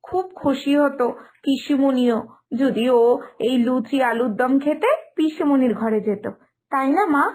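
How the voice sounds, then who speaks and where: A high-voiced young daughter-in-law from a cartoon family story, acted by a woman. She is dutiful and considerate, with a polite, slightly hesitant way of talking. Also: measured pace, darkish tone, rough recording. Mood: polite, coaxing.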